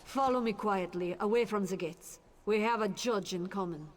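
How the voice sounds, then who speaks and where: A man playing a hushed, wary guard captain. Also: high voice, normal speed, natural voice. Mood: commanding. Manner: hushed, conspiratorial, urgent. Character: guarded middle-aged male town guard captain. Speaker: game character. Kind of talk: studio video game voice acting.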